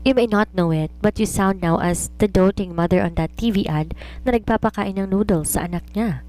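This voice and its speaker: A woman's voice: mid-range voice, normal speed, a little mellow, close to an everyday voice, low-quality recording. A woman voicing an independent, teasing young daughter who writes romances, playful and reasoning in mixed Tagalog and English.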